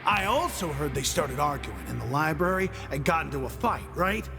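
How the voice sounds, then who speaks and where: A man's voice: on the high side, very animated, normal speed. A man playing a gossipy, cynical prison cook who boasts and scorns in a conspiratorial, opinionated way in a video game. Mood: scornful. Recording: game music behind the voice.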